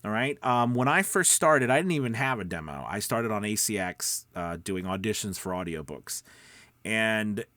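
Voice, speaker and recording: A man hosting an instructional show in a conversational, encouraging way, often pausing for emphasis and checking in with the listener. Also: on the low side, expressive, sharp tone, no echo. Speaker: host of a voiceover coaching show. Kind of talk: casual instructional vlog talk to camera.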